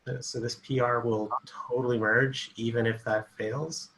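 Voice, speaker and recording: A man on a video call calmly explaining details in a casual meeting. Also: medium pitch, normal speed, low-quality recording. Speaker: meeting participant.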